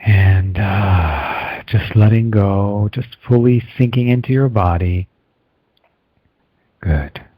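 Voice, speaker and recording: Calm, soothing male energy healer. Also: on the low side, dry recording, narrow, tinny audio. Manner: calm, slow, soothing guidance with steady affirmations. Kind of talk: guided healing session.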